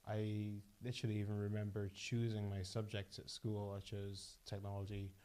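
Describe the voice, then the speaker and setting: Low mellow-voiced man from Leeds. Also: monotone. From Leeds, England. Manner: reflective, measured. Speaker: guest founder. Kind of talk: casual podcast conversation.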